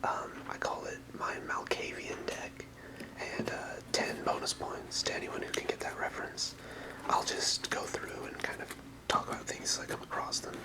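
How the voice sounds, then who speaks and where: A fully whispered voice in the style of a casual ASMR video, with no voicing in it. The speaker rambles softly and hesitantly through a card showcase, sounding apologetic and unscripted. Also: moderate pace, low-quality recording. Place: apartment room.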